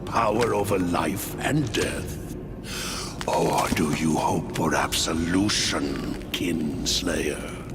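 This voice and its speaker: An actor playing a menacing, imperious undead king of the dead, speaking in a deep and ominous way. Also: takes it slowly, very noisy. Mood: scheming. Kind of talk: game cutscene voice acting. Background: loud music.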